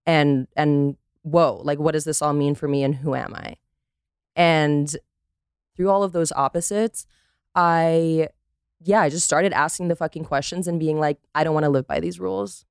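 A woman from Costa Rica speaking candidly and casually as a podcast guest, emphatic and quick to swear. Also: deep voice, fairly even intonation, clean tone, no room sound, clean studio sound.

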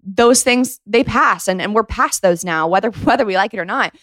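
A woman's voice: medium pitch, moderate pace, fairly bright tone, no echo. A woman in her twenties hosting a podcast, giving casual advice in a chatty, emphatic way with slangy asides. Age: 20s. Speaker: podcast host. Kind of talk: casual advice talk to listeners.

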